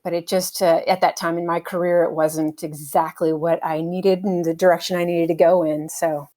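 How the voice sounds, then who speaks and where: A middle-aged American woman, a helicopter pilot, speaking as an interview guest in a relaxed, thoughtful way with many fillers and light laughs. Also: fairly low pitch, moderate pace. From United States. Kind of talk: casual conversational interview.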